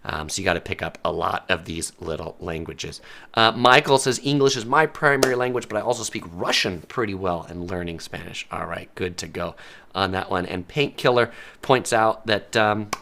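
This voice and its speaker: A man's voice: deep voice, expressive, moderate pace, vocal fry, sharp tone, slight background noise. A man from the United States, hosting a casual live-stream talk about travel myths, chatty and full of ums and uhs. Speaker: travel video host. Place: Southern California.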